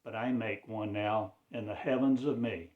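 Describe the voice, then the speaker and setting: A man delivering a rambling spiritual monologue in a slow, drawn-out, cryptic declaiming manner. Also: lowish voice, fairly even intonation, reverberant, narrow, tinny audio.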